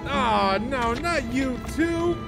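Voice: high voice, expressive, slow pace, gravelly, full character voice. An actor in an audio drama playing an exasperated animal handler coaxing a stubborn creature. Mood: dismayed. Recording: loud music over a drama scene.

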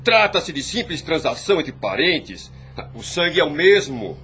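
Sharp, haughty nobleman. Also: a little high, sing-song, measured pace, heavily acted. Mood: indignant, challenging. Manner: curt, imperious, formal. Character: haughty, suspicious Inquisition nobleman, challenging and stern. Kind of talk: radio drama acting. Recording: clear background noise.

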